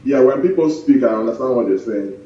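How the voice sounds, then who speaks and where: A soft-spoken man chatting as a guest pastor, often laughing and circling back over his words. His voice sits at an ordinary middle pitch. It sounds distant from the mic, in a roomy, echoey space. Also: animated, a little fast, lo-fi sound. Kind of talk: casual interview chat.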